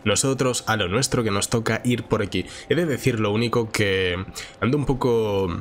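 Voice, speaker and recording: A man's voice: on the low side, moderate pace, a little hiss or hum. A man with a nasal, congested voice and a sore throat from a cold, giving informal, chatty Let's Play commentary. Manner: informal, chatty, thinking aloud. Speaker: gaming channel host. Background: game music.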